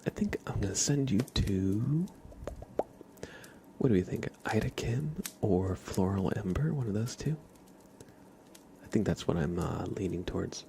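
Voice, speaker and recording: A man chatting softly as an ASMR livestream host, hushed and often slipping toward a whisper. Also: fairly low pitch, animated, unhurried, vocal fry, pauses often, noisy background. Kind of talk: soft-spoken ASMR livestream chat.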